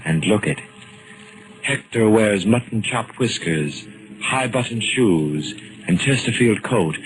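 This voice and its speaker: A man narrating a 1940s radio mystery from a script, in a dramatic, deliberate manner. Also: fairly low pitch. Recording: noisy old 1940s radio recording.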